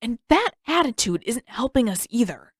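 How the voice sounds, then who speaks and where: A woman's voice: on the high side, big pitch swings, moderate pace, slightly rough, no echo, studio quality, voice pushed up. A woman voicing a suspicious, stubborn teenage older brother in an audiobook, indignant and emphatic as he accuses. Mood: conspiratorial, irritated.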